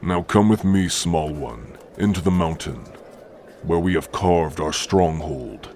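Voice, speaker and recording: A man voicing a stern, gruffly caring orc warrior-healer in an ASMR roleplay, speaking in a formal, commanding way. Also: sits low, slow pace, a little mellow, a little hiss or hum. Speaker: roleplay voice actor.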